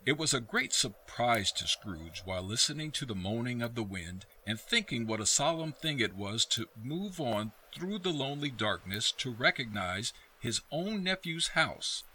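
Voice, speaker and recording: A man narrating a radio play in a measured, expressive, literary storytelling style. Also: medium pitch, slight background noise, poor audio.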